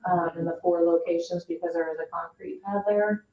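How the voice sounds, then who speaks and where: Woman, lowish voice, informal hedging explanations, parks staff at a board meeting, dull distant recording. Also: steady tone, a little fast, warm tone. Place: indoor public board meeting room. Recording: echoey meeting room, distant dull mic.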